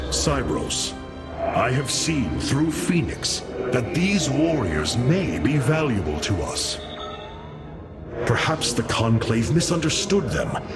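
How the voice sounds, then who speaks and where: A resolute alien leader in a video game, formal and earnest. His pitch moves widely as he commands, and loud music plays underneath. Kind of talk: video game cutscene acting.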